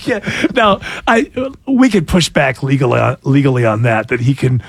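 A man's voice: mid-range voice, very animated, moderate pace, vocal fry, slightly rough, no room sound. A man in his sixties hosting a casual talk show, joking sarcastically and laughing often. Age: 60s. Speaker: radio show host. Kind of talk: casual chat.

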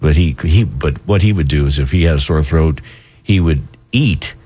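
A man hosting a morning radio news show, chatting casually with restarts, his throat a little hoarse from a cold. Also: a bass voice, moderate pace, mellow. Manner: chatty, stumbling restarts, laughs often. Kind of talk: casual on-air banter. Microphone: studio microphone.

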